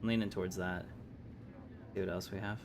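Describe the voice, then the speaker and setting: A man's voice: sits low, fairly even intonation, brisk, gravelly, noisy background. A man giving casual live gameplay commentary, musing aloud and hesitating as he thinks through choices. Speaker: game livestreamer, host.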